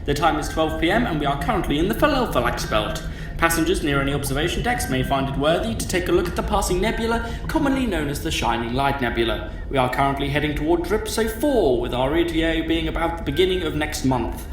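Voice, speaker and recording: A man's voice: fairly high pitch, lively intonation, quick pace, noisy background, close to an everyday voice. A man playing a genial but easily exasperated starship captain in an audio drama, with a hearty, formal manner. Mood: formal, welcoming. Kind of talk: scripted fan audio drama acting.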